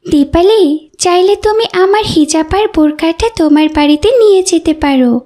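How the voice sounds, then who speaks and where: A woman's voice: very high-pitched, moderate pace, mellow. A woman voicing a kindly, devout young schoolgirl in a cartoon, sincere and encouraging. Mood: friendly, encouraging. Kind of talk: cartoon dialogue acting.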